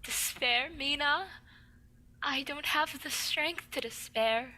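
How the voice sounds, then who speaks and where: A woman's voice: sits high, takes it slowly, noisy background. A woman playing a weak, dying young woman in a vintage-style radio drama, plaintive and weary. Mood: weak, despairing. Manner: plaintive, weary, breathy at times.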